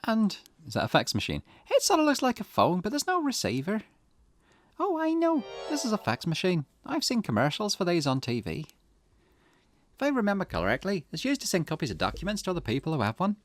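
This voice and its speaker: A man's voice: high-pitched, huge pitch swings, brisk, a little hiss or hum, pitched above the performer's own voice. A man voicing a curious, puzzled investigator who thinks aloud in a visual novel, musing and questioning. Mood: curious, excited. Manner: musing, questioning, swooping intonation. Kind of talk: visual novel character reading. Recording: music behind the voice.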